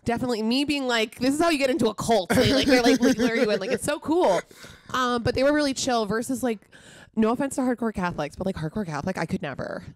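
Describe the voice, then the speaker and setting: A woman comedian chatting as a podcast guest, telling animated stories and swearing casually. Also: fairly high pitch, quick pace, no room sound. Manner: animated storyteller, swears, acts out quotes. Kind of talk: casual chat.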